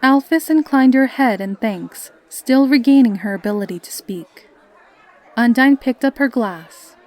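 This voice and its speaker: A woman's voice: a little high, animated, unhurried, darkish tone, some chatter behind, rough recording. A woman reading scripted story narration.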